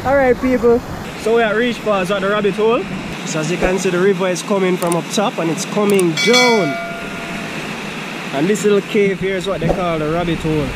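A man with a Jamaican accent hosting a travel vlog at a river, talking energetically to an action camera held at arm's length outdoors. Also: sits high. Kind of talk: casual travel vlog narration. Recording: loud rushing water noise.

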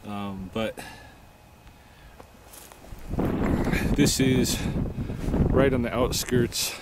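A middle-aged man talking casually outdoors into a close camera, halting and reflective, with long pauses as he thinks aloud. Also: medium pitch. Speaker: vlogger on a night hike. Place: outdoors at night, open field. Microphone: camera held close to face. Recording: windy outdoor camera mic, noisy. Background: wind noise.

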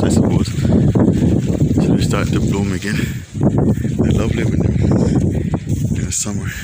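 Man, low voice, rambling walk-and-talk, phone mic, heavy wind noise outdoors. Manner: rambling, filler-heavy, thinks aloud. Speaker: nature vlogger. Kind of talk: casual walking narration. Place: outdoors on a windy coast and scrub. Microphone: phone camera held by the speaker.

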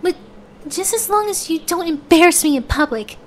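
A woman's voice: extremely high pitch, lively intonation, normal speed, a little hiss or hum. A woman voicing a shy, flustered young woman in an ASMR roleplay, speaking hesitantly with bashful stammers. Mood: shy, tender. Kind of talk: ASMR roleplay audio drama.

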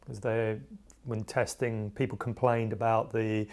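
A man's voice: on the low side, level tone, measured pace, darkish tone. A man in his thirties giving practical, explanatory opinions in a casual review discussion as a magazine bike tester. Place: small indoor studio workshop. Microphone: lapel mic.

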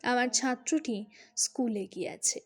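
A woman's voice: a little high, a little slow. A woman reading a literary story expressively, as an audiobook narrator. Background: music.

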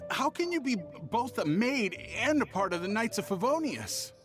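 A flustered young merchant from a video game, with a very lively pitch. He is polite but awkward and stammering, over faint music. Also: moderate pace. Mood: bewildered. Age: young adult. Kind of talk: anime-style video game acting.

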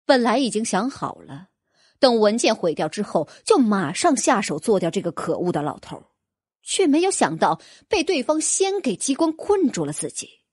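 A woman's voice: mid-range voice, big pitch swings, normal speed, breathy edge, dry recording, studio quality. A woman narrating a fantasy audiobook in a dramatic, scripted storytelling style.

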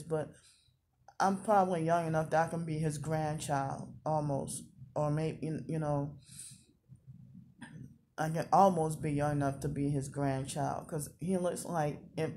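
A woman's voice: deep voice, dark tone, a little hiss or hum. A woman in her thirties talking casually in a confessional vlog, rambling and repeating herself. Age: 30s. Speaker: vlogger.

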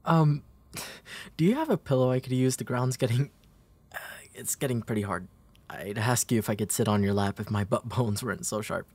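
A young man playing a gentle, protective, playful big brother in a comfort roleplay, speaking in a hesitant, soothing way. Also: medium pitch, husky, natural voice. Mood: soft, lightly teasing. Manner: hesitant, soothing, halting delivery. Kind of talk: intimate comfort roleplay audio.

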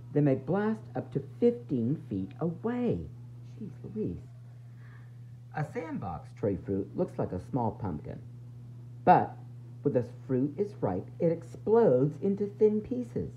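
A man reads aloud to small children in a teacherly, playful way. His pitch swings widely as he stresses words for drama and slips in asides. The sound is slightly dull and lo-fi, with a faint noise behind it. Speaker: teacher reading a picture book aloud. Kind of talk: animated read-aloud for young children.

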